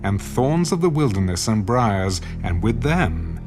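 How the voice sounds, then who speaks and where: A man giving solemn, measured narration of scripture. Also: lowish voice, sing-song. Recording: loud background music.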